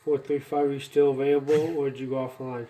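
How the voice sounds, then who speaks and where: A man's voice: medium pitch, little pitch movement, fast talker, mellow. An American man chatting casually as a radio operator on a two-way radio. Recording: noisy, distant mic beside handheld radio.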